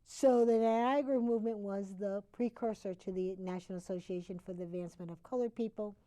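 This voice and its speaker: A woman from Connecticut, a talk show guest, explaining history in a halting way with many fillers and self-corrections. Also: medium pitch, steady tone, warm tone.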